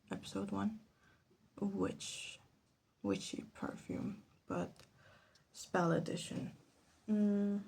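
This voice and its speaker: A soft-spoken woman giving casual, rambling ASMR commentary, hesitant and drifting into whispers. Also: mid-range voice, vocal fry, slight background noise. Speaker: ASMR creator making perfume. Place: small room at home.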